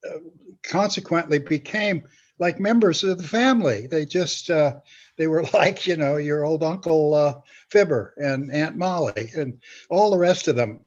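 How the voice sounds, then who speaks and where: An elderly man in his eighties with a fairly high voice reminisces as an interview guest. He speaks haltingly and rambles, repeating words as he goes. The sound is a little dull and lo-fi. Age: 80s. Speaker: guest, veteran script writer.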